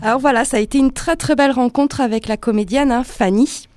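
A woman's voice: high-pitched, brisk, background noise. A woman hosting a radio show, presenting in a chatty way with many little fillers and tags.

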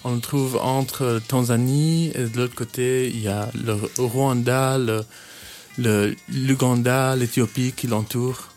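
A young man in his twenties, raised in Kenya, answers a youth radio interview at a middle pitch. He pauses often and restarts his sentences, and a faint bed of music plays under his voice. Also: fairly even intonation, darkish tone. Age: 20s. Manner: hesitant, many fillers and restarts. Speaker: youth worker, interview guest.

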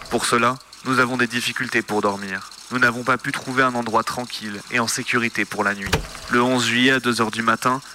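Flat-voiced man reading over noise. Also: mid-range voice. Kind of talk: reading testimonies aloud.

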